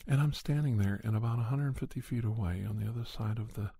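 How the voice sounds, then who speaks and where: A man telling a spooky first-person story in a soft, breathy ASMR style, often near a whisper, in deliberate short phrases with pauses. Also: medium pitch, no echo. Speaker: podcast storyteller.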